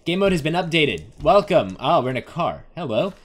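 Young male gaming host: playful, rambling Let's Play commentary with a lively, swinging pitch. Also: a little fast. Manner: playful, rambling, talks to game characters and himself. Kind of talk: casual Let's Play commentary on an adventure game.

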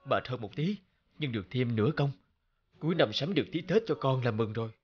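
A man voicing a devoted, hardworking young father in a cartoon, speaking gently and tenderly. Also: medium pitch, lively intonation, a little fast, dull audio, close to an everyday voice. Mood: tired.

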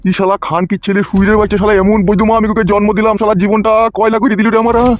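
A man's voice: sits high, little pitch movement, rapid-fire, natural voice. A man voicing an exasperated, foul-mouthed village father-in-law in a comedy cartoon. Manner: blustering, exasperated. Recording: muffled audio with music underneath.

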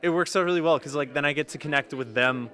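A man's voice: a little high, quick pace, pushed and bright. A teenage boy who is a student actor, answering interview questions eagerly with many fillers, speaking into a handheld mic backstage. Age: teens. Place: backstage by a curtain.

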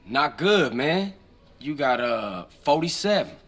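A man's voice: medium pitch, very animated, slow, fairly bright tone, faint music behind, heavily acted. A man playing a streetwise, confident young American gangster in a video game cutscene, with slangy, informal speech. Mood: relaxed.

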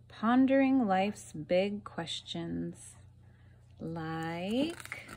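Slow, expressive woman reading aloud to children. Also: a little high. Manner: deliberate, pauses between phrases, asks listeners questions. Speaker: teacher reading a picture book aloud to children. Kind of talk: expressive children's picture-book read-aloud.

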